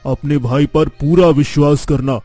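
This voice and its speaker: A man acts out a fearless young village hero for a cartoon tale. His voice sits a little high, and he speaks in earnest, declaiming lines with stubborn confidence. Some music plays underneath. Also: fairly even intonation, measured pace, mellow. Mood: determined, reassuring. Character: determined, boastful eldest son, fearless young village man. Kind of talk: dramatic Hindi cartoon story dialogue.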